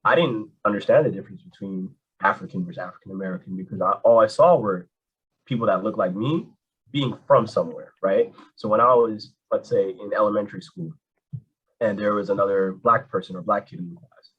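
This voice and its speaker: A man telling his story as an interview guest, casual and animated, full of slang. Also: fairly low pitch, a little fast, husky, narrow, tinny audio. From Canada. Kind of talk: candid conversation.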